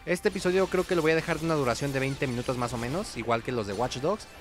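Mexican guy doing chill chatty game commentary, mid-pitched voice, some music underneath. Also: fast. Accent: Mexican Spanish. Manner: informal, chatty, hums little sound effects. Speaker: gaming video host. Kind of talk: casual gameplay commentary.

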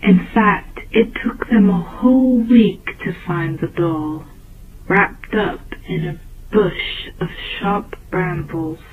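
Slow woman storyteller on muffled tape. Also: lowish voice. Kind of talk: scripted narration of a dark children's tale. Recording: muffled, noisy old tape, phone-like band.

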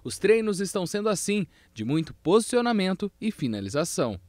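A man's voice: high voice, fairly quick, no room sound. A male field reporter reading a scripted sports voice-over. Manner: animated read delivery.

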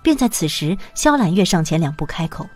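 A woman narrating an audio drama in an even, measured storytelling voice. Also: lowish voice, soft background music.

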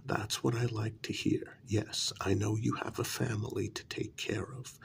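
Slow, very creaky soft-spoken man, often whispering. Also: fairly low pitch. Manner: coaxing, deliberate, halting phrasing. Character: persuasive, placating talent agent to supernatural creatures. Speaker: ASMR role-play performer as a talent agent.

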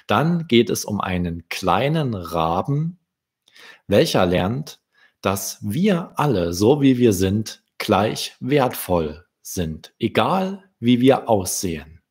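A young man from Germany telling stories to children in a deliberate manner, as an author hosting his readings. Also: lowish voice, husky. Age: young adult. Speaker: children's author, channel host. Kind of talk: storytelling for children. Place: small indoor room. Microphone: close desk microphone with pop shield.

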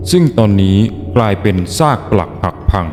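A man's voice: on the low side, sing-song, fairly quick, darkish tone. A man narrating a scripted, dramatic audio story. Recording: long reverb, music bed. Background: faint music.

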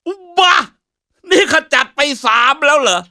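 A man's voice: very high voice, big pitch swings, a little slow, pushed and bright, heavily acted. A man voicing a cocky, trash-talking country tough guy as a comic game announcer, boastful and mocking with slangy swagger. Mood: boastful, taunting.

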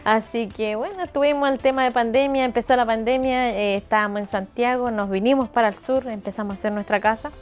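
A woman's voice: a little high, steady tone, brisk, hardly any pauses, faint music behind. A woman in her thirties from Argentina with an Argentine accent, a mechanic chatting casually about her work in an interview and acting out what people said to her. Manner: chatty, laughs easily, acts out quotes. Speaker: mechanic and business owner. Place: open shed outdoors at her home.

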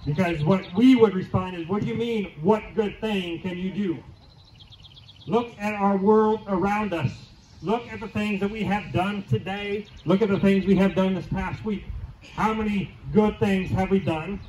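A middle-aged pastor giving a conversational outdoor sermon, asking the crowd questions and repeating key lines, heard through a handheld mic and PA. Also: male voice, high-pitched, fairly even intonation, moderate pace, darkish tone, a little hiss or hum. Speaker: teaching pastor. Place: church lawn outdoors, congregation under a tent. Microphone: handheld mic through PA speakers, filmed from afar. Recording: distant, roomy outdoor PA sound.

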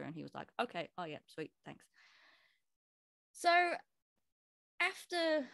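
A woman's voice: mid-range voice, dry recording. NZ woman trainer, chatty talk on a video call, dry close sound. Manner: chatty, explains with quoted asides. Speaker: personal trainer presenting to a trainers' meeting. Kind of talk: casual presentation over a video call.

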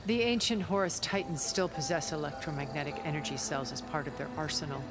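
An actress playing a cool, aloof, imperious woman in a cinematic video game, measured and condescending. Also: deep voice, music in the background, natural voice.

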